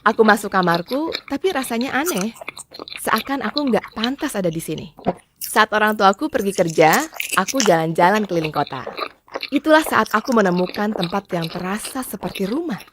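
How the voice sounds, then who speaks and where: A woman's voice: medium pitch, lively intonation, normal speed. A woman narrating a scripted first-person story in a dramatic storytelling style. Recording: clearly noisy background with clicks.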